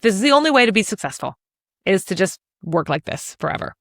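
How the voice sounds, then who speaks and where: A woman in her forties from Washington state, telling her story as a podcast guest in an animated, energetic way. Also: mid-range voice, quick pace, no echo, clean studio sound. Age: 40s. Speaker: podcast guest, real estate agent. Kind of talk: casual interview chat.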